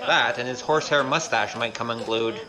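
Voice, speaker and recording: A woman voicing a wry, quick-witted young male trickster in a kung fu audio drama. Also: rumbling low, a crowd in the background. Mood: pleased, sly. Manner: wry, casual, scheming.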